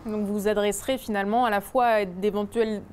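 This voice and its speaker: A woman's voice: medium pitch, steady tone, a little fast, a little mellow, a little hiss or hum. A woman in her thirties presenting and asking questions in a regional television interview. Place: indoors in a restaurant and wine shop.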